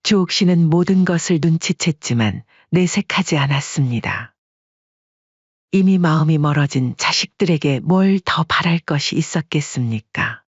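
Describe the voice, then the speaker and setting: A woman narrating a scripted audio story in a calm, measured way. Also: sits low, husky, no room sound, muffled recording.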